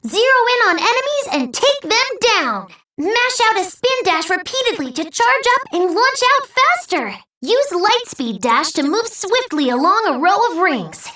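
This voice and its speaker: A woman voicing a small, cheerful robot helper who gives chirpy, clearly spoken tutorial hints in a video game. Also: very high-pitched, sing-song, measured pace, few pauses, sharp tone, roomy sound, distant mic, exaggerated voice. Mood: perky, helpful. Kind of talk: scripted game instructions and hints.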